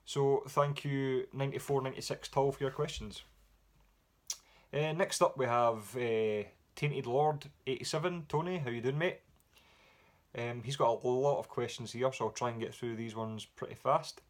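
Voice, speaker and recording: A man with a Scottish accent answering viewer questions in a relaxed, rambling way with frequent pauses and fillers. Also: medium pitch. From Scotland. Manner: relaxed, rambling with many ums. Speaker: music vlogger. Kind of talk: casual Q&A vlog.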